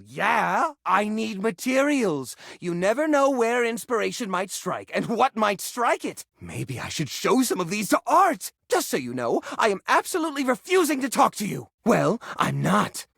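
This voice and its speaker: An actor voicing a fussy, anxious junk drawer come to life in a video game, theatrical and flustered, with old-fashioned folksy exclamations. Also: male voice, sits high, creaky, fairly bright tone, husky, dry recording, clean studio sound, exaggerated voice. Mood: cheerful, proud, then hurt, sulky. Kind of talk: video game character voice acting.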